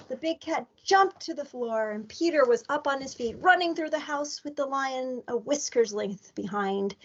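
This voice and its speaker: Slow-reading woman storyteller, dull recording. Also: high-pitched. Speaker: elementary school staff member reading aloud. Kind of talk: scripted storybook read-aloud for children.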